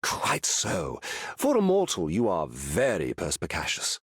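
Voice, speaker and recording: Wildly swooping, lofty godlike warrior. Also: male voice, low-pitched, wildly swooping pitch, a little slow, slightly rough, no echo, clean studio sound, full character voice. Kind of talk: theatrical video game character acting.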